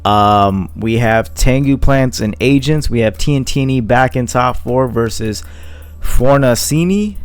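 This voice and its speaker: A man's voice: mid-range voice, a little slow, smooth, no echo, soft background music. A man giving casual play-by-play commentary on a card game match, thinking aloud with frequent fillers. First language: not Italian.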